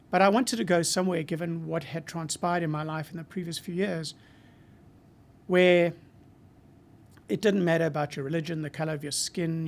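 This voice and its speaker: A man in his sixties from Zimbabwe with a Zimbabwean accent, a marketing professor speaking as a guest in a podcast interview, reflective and measured with frequent hesitations. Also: a little high, gravelly.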